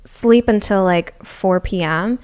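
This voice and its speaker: Chatty young woman, smooth clear voice. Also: mid-range voice, unhurried, a little mellow. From Massachusetts, USA. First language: Japanese. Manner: chatty, laughing, full of "like". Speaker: podcast guest. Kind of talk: casual chat.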